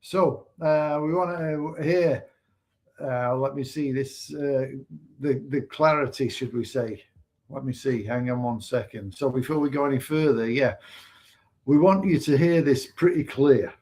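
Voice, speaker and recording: A man from England co-hosting a casual business livestream, speaking hesitantly with many pauses and fillers. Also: medium pitch. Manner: hesitant, many fillers and restarts, softer than co-host. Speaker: online business mentor and livestream co-host.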